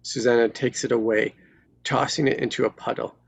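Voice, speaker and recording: A man's voice: medium pitch, fairly even intonation, a little fast, creaky. A man reading stage directions as scripted narration at a live table read.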